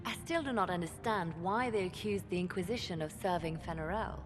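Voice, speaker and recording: An actress playing a poised, serious female companion who speaks formally and in measured phrases in a video game. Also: lowish voice, music in the background, natural voice. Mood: pensive, determined. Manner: formal, measured, avoids contractions. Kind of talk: dramatic video game dialogue.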